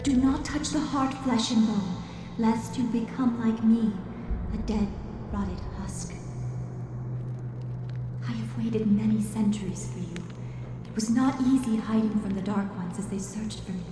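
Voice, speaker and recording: A woman voicing a long-dead female guardian in a video game cutscene, giving measured, commanding warnings with a ghostly echo. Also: fairly high pitch. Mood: solemn. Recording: echoey hall heard from far off, with music.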